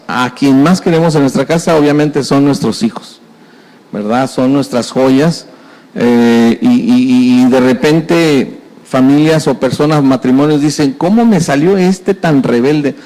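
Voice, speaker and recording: A man's voice: fairly high pitch, a little mellow. A middle-aged man from Mexico, preaching a sermon in a conversational style, full of repetitions and folksy sayings. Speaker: pastor. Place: church hall. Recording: reverberant church hall.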